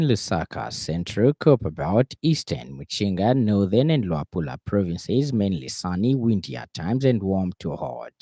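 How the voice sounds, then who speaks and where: Low-voiced Zambian male newsreader, mellow tone. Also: no room sound, clean studio sound. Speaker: radio news reader. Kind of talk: scripted news bulletin reading. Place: radio studio.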